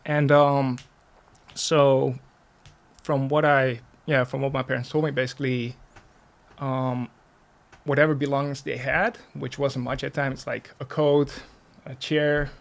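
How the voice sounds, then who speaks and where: A man who grew up in the Netherlands, speaking with a Dutch accent as an interview guest, casually explaining and telling stories with pauses. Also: mid-range voice, little pitch movement, gravelly. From Netherlands, born in Russia. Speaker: podcast guest.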